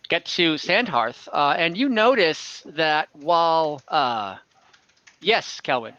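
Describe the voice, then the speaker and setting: A man with a bright, fairly high voice acts as game master, improvising story details in halting stretches. His tone is smooth and clean, recorded dry and close, though slightly dulled by online call audio. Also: expressive, dry recording, dull audio. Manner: halting, pausing mid-sentence as he improvises.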